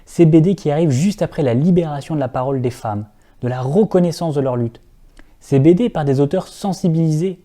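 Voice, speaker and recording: A young man narrates a video essay in a carefully scripted, earnest delivery. His voice sits a little high and sounds very dark and mellow in tone. He talks straight to his viewers and now and then slips in a dry, ironic aside. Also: a little fast.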